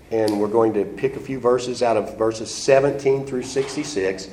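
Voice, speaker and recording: A man's voice: medium pitch, darkish tone, reverberant, poor audio. A congested man with the flu teaching a sermon and reading scripture aloud in old-fashioned wording. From United States. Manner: reads scripture aloud in King James wording. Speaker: Bible teacher.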